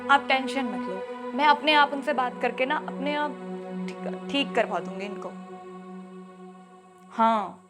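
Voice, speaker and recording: A woman's voice: high-pitched, slow pace, long pauses. A woman playing a scheming young daughter-in-law. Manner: sly, boastful. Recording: background music under the voice.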